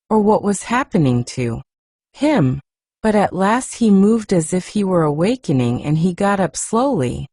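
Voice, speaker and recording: A woman narrating a classic novel as a soft, soothing bedtime story. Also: fairly low pitch, no echo, studio quality. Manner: gentle, unhurried, soothing. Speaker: audiobook narrator.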